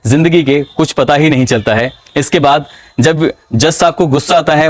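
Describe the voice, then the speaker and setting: A man's voice: mid-range voice, no room sound. Hindi man narrating true crime, dry.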